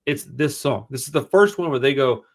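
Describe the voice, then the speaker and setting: A man with a booming voice co-hosting a casual music review show, talking in an animated, slangy, rambling way. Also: normal speed. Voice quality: booming, projecting voice. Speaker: podcast co-host.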